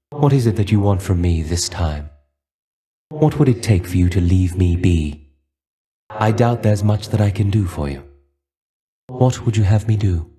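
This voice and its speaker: A brooding fallen warrior from a video game, with a low, dark voice. He is aloof and resigned, speaking slowly in formal, archaic phrases, a weary soul at rest in paradise. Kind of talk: video game character dialogue.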